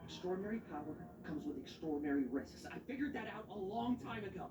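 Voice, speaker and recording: A woman's voice: fairly low pitch, expressive, quick pace, natural voice. A woman voicing an intense, impatient conspirator in a dramatic video game scene. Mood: urgent, impatient. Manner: forceful, impatient, insistent. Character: intense, driven conspirator urging on a risky experiment. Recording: roomy, distant, noisy game capture.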